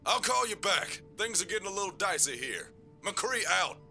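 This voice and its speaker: A man voicing a gruff cowboy field agent reporting from danger in a comic dub. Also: high-pitched, big pitch swings, moderate pace, voice pushed up. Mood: urgent, tense. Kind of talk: dramatic comic dub acting. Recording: music behind the voice.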